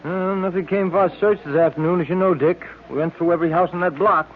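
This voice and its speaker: A man's voice: sits high, fairly even intonation, quick pace, natural voice. An actor playing a hearty, anxious male detective's partner in an old radio serial. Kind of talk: 1930s-40s radio serial acting. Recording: tinny, noisy old radio recording.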